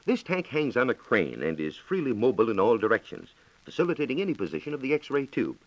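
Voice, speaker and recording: A man's voice: mid-range voice, very animated, fast. An American man reporting on science in a scripted, formal and precise 1940s radio style, on a dull, echoey old recording.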